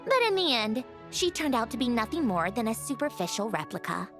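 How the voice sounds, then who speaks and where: A woman's voice: fairly high pitch, sing-song, fairly quick, music in the background. A woman voicing a haughty, matter-of-fact young puppet genius in a video game, with a precise, lecturing, slightly impatient delivery. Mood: proud, wistful. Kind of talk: scripted video game voice acting.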